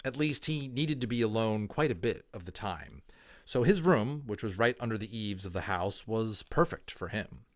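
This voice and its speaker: A man reading a storybook aloud as a dramatic narrator, expressive and emphatic, in an informal style. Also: on the low side, moderate pace. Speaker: audiobook reader.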